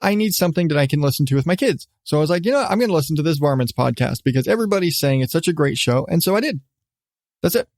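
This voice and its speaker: A middle-aged man hosting a casual solo podcast, talking in a rambling, halting way with repeated restarts. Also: a little high, animated, a little mellow, no room sound, studio quality. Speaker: podcast host.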